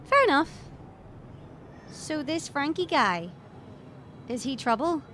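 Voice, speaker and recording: A curious, kind-hearted young adventurer's companion played by a woman in an audio drama. Her high, bright voice is very lively and informal, with faint noise behind it. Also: slow, heavily acted. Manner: informal, questioning.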